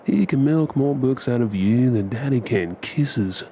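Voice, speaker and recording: A man's voice: mid-range voice, expressive, unhurried, warm tone, slight background noise. A man with an Australian accent playing a tender, playful husband and dad in an intimate ASMR role play, affectionate and coaxing. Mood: playful, teasing.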